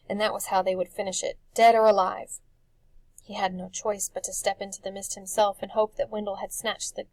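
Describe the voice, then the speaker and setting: A woman reading a dark fantasy story for children as expressive scripted narration. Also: medium pitch, gravelly, no echo, poor audio. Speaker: audiobook narrator.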